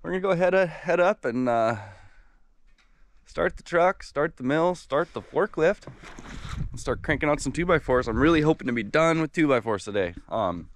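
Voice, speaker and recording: A man in his thirties, slightly hoarse from a cold, chatting in a relaxed, upbeat way with lots of fillers while filming himself outdoors on a dock by a bay. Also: fairly high pitch, moderate pace. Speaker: sawmill owner vlogging his workday. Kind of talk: casual outdoor vlog. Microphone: action camera held at arm's length.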